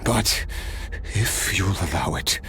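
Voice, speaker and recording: An actor playing a weary immortal warrior in a video game, reflective and wistful, with measured, rueful phrasing. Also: male voice, deep voice, expressive, bright, forceful tone, slight background noise, exaggerated voice. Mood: regretful, reflective. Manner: measured, rueful, dramatic pauses.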